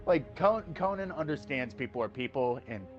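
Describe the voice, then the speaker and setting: A man's voice: mid-range voice, animated, normal speed. A man rants in casual stream chat, swearing freely and stumbling through fillers and restarts. The audio is a bit muffled, with faint music behind him. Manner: ranting, profane, many fillers and restarts. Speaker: co-host.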